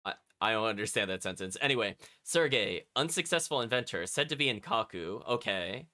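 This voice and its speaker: A man's voice: on the low side, lively intonation, fairly quick, sharp tone. A man streaming a video game, reading its text aloud and chatting with casual asides to his viewers. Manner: chatty, often commenting as an aside.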